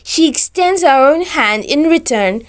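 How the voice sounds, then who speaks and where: A woman's voice: high-pitched, lively intonation, measured pace. A woman storyteller reading a scripted romantic tale in a formal, descriptive, careful manner.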